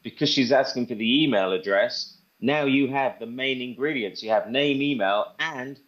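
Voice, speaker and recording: Man, lo-fi sound, mid-pitched, informal presenter, demo talk. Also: normal speed, fairly bright tone. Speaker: presenter demonstrating a phone assistant. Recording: dull lo-fi audio.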